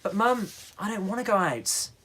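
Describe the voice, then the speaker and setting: A man voicing an excitable, eager young boy who is mad about football, in a bedtime story. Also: sits high, moderate pace, slightly rough, voice pushed up, full character voice, poor audio. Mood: reluctant.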